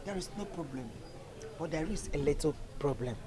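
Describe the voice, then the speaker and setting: A woman's voice: low-pitched, lively intonation, normal speed, dark tone. An actress playing a worried middle-aged mother, lecturing her daughter earnestly.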